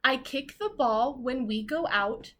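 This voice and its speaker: A woman's voice: high voice, expressive, measured pace, fairly bright tone. A woman teacher reading a children's book aloud expressively, adding chatty asides and questions addressed directly to the children. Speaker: primary school teacher reading aloud to pupils.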